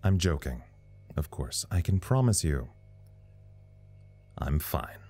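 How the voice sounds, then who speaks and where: An actor playing a weary, self-deprecating communications officer in an audio drama, talking in a rambling, wry way. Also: male voice, a bass voice, sing-song, slow, mellow, exaggerated voice. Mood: rambling, wry, nervous. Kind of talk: audio drama recorded log monologue.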